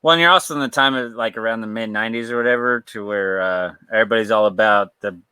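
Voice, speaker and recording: A man's voice: fairly low pitch, quick pace, sharp tone, clear, resonant tone, no echo. A man co-hosting a podcast in loose, rambling chat, laughing often and swearing freely. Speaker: podcast co-host. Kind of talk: casual chat.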